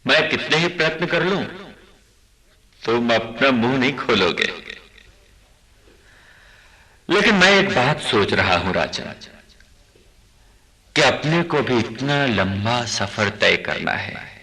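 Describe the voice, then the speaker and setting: An actor playing a sly, mocking male ghost that inhabits a corpse in a radio drama, with a theatrical, drawn-out delivery. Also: mid-range voice, lively intonation, sharp tone, roomy sound, full character voice, low-quality recording. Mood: teasing.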